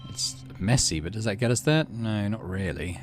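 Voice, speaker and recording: Deep-voiced man with very lively pitch. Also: normal speed, music in the background. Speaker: let's play host. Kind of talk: casual game commentary and reading text aloud.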